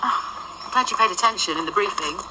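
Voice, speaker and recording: A woman's voice: mid-range voice, expressive, quick pace, very noisy, close to an everyday voice. An actress playing a sceptical ghost woman, wry and sardonic.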